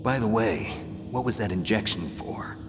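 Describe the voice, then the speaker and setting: An actor playing a cynical, dry-witted veteran soldier in a video game, gruff, gravelly and terse. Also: male voice, fairly low pitch, normal speed, over music, close to an everyday voice. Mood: sardonic. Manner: terse, deadpan, sardonic. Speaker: special forces soldier. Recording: very reverberant hall, loud background noise.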